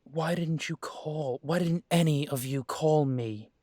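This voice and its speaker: A man's voice: on the high side, measured pace, a little mellow, no echo, studio quality. A man playing a restless grown son. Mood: upset. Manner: hesitant, then pressing and insistent.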